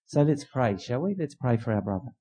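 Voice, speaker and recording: A man leading a church service, welcoming a guest and praying in an informal, halting way. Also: medium pitch, lively intonation, mellow, a little hiss or hum. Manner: informal and halting, many restarts. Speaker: church leader.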